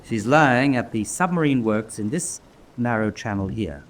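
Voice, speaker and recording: Male actor as resourceful schemer, mid-range voice, lively swoops, dry, teasing, wartime radio drama. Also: mid-range voice, a little slow, lightly acted. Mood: conspiratorial. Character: sly, resourceful prisoner-of-war officer, dryly playful schemer. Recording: background noise and ambience.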